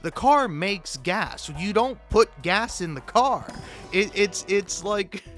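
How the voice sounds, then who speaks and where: A man with a slightly high voice rambles through a casual comic monologue over faint music. He swears freely and trips over his words, repeating bits and breaking off mid-sentence to start again. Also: lively intonation, fairly bright tone. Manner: profane, halting, self-interrupting with stammered repeats; chuckles often while talking. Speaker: host of a comedic video.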